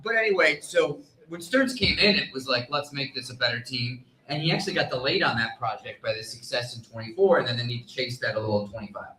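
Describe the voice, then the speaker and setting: Male panelist, mid-range pitch, explanatory and measured, roomy live sound. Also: animated, pushed and bright. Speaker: sports reporter and panelist. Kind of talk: live panel sports discussion. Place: live convention panel stage. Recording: echoey live room.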